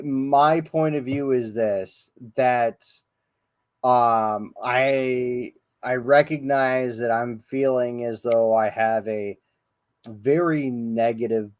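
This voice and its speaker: Male podcast host, slow and meandering, profane, dry close-mic sound. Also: mid-range voice, dry recording. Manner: rambling, profane, hesitant with many ums. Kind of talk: casual livestream chat. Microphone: livestream podcast mic.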